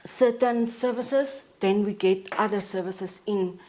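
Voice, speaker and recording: A South African woman in her forties, a counselor talking as a podcast guest in a steady, matter-of-fact way with frequent pauses. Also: medium pitch, low-quality recording. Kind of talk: casual interview talk.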